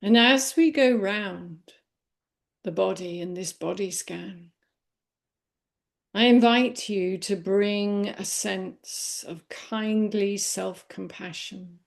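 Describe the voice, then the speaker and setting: A woman leading a guided body scan meditation in a gentle, unhurried manner with long pauses. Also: mid-range voice, gravelly. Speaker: meditation teacher.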